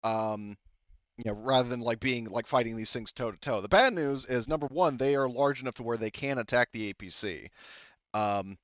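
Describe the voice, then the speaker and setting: Halting game master man, smooth dry voice. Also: medium pitch, fairly bright tone, no echo, lo-fi sound. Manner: halting, explaining rules with many fillers. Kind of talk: running a tabletop game.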